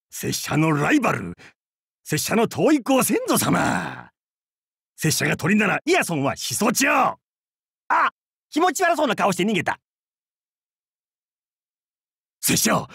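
An actor playing a boisterous, crude and goofy male pirate captain in a game, with a gravelly, husky voice and a hammy, theatrical, jokey way of talking. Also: high voice, a bit bright, no echo, studio quality, full character voice. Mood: joking, rambling. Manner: hammy, theatrical, jokey otaku-style speech. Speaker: game character voice. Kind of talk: voiced game character lines.

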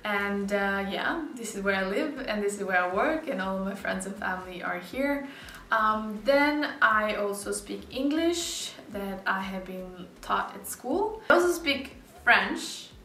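A woman's voice: medium pitch, animated, measured pace, bright, forceful tone. A young woman from Serbia, speaking English as a second language, chatting casually to the camera in a living room with many likes and little laughs. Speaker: beauty vlogger. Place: bright living room with sofa and plants. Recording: roomy echo, slightly distant mic.

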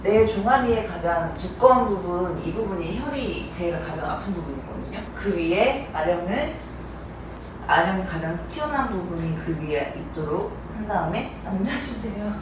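A woman's voice: lowish voice, lively intonation, moderate pace, noisy background. A young woman, a stretching instructor, patiently explaining calf stretches step by step while demonstrating them. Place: bright living room with wooden floor. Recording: echoey room, distant mic, loud music bed.

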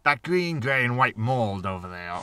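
A man's voice: medium pitch, big pitch swings, moderate pace, sharp tone, clear, resonant tone, distant mic. An actor playing a smarmy, irritable male used-spaceship salesman in a sci-fi comedy audio drama.

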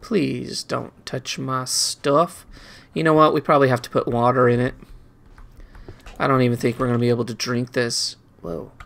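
A man's voice: mid-range voice, flat delivery, normal speed, creaky, fairly bright tone. A man giving casual video game commentary, rambling and muttering playfully to himself as he plays.

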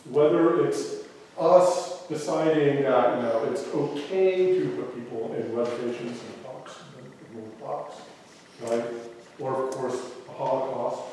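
A man in his thirties with an Atlanta accent and a big voice speaks casually to an audience, often checking in with a quick "right?". He is recorded from far off in a small hall, so there is heavy echo and a long reverb tail, with faint room noise. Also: on the high side, warm tone. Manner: conversational, asks audience rhetorical questions. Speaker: guest speaker. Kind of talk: casual spoken talk to an audience. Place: small hall used for church meetings, indoors. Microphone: far camera, room audio.

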